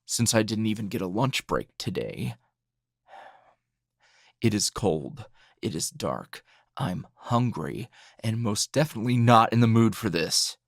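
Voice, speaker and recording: A man playing a tired, gruff, dryly teasing hero schoolteacher in an ASMR roleplay, speaking low and gravelly in a halting, understated way. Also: animated, dry recording, clean studio sound, full character voice. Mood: irritated, weary. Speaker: voice actor.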